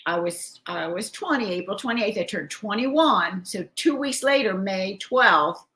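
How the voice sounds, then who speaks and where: An older woman with a bright, ringing voice chats easily on a live show. She talks like a grandmother sharing stories, laughing often and reading comments from viewers. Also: medium pitch, expressive, a little slow. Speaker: co-host, grandmother. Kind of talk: casual chat on a live show.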